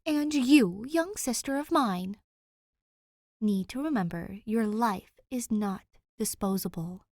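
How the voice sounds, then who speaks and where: A young big sister , put on by a woman narrator in an audio storybook. She is firm, fond and exasperated, with emphatic lifts in pitch. The recording is close and dry. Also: expressive, takes it slowly, darkish tone, no room sound, heavily acted. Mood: firm, scolding.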